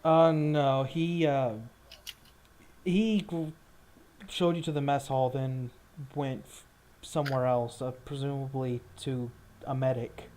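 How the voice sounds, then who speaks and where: Man game-mastering, halting and informal. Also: medium pitch, fairly even intonation, darkish tone, a little hiss or hum. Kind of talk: improvised tabletop game narration and casual chat.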